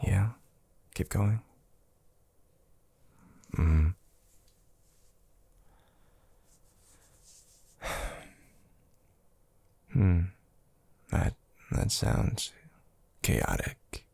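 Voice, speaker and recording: Male actor as sleepy affectionate boyfriend, ASMR roleplay, heavy vocal fry, breathy, soft teasing drawl, very dry close sound. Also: wildly swooping pitch, very slow, long pauses, dry recording, heavily acted. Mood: sleepy, attentive. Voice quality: breathy, gravelly low murmur.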